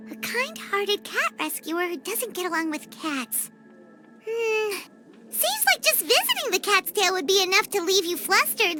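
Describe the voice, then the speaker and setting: Squeaky tiny fairy companion. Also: female voice, full character voice. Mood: curious. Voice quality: squeaky, childlike. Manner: speaks of herself in the third person, exclamatory. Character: tiny childlike female fairy companion, chirpy and self-assured. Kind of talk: English video game voice acting. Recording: music behind the voice. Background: loud game music.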